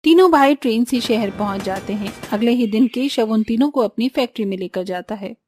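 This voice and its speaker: A woman's voice: on the high side, fairly quick, a little mellow. A woman reading scripted narration for a cartoon story.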